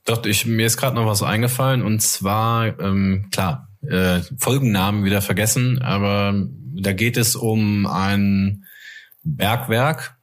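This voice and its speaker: Male podcast co-host, lowish voice, relaxed chat style, halting as he finds his words. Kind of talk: casual fan podcast chat.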